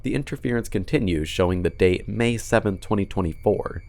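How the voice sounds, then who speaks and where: A man's voice: on the low side, brisk, a little mellow. A man narrating scripted commentary in a casual, dryly sarcastic way with some swearing. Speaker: video essay host.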